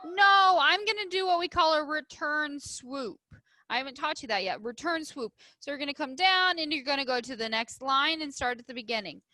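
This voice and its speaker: A woman's voice: sits high, moderate pace, pushed and bright, dry recording. A woman teacher reading aloud and leading a writing lesson for young children, explaining simply and asking them questions. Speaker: primary school teacher.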